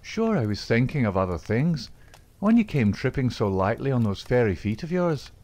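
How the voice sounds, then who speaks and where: A man reading aloud, voicing a smooth-talking Irishman in a secret society who reassures his sweetheart. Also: mid-range voice, big pitch swings, moderate pace. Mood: ardent. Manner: coaxing, reassuring, soft-spoken.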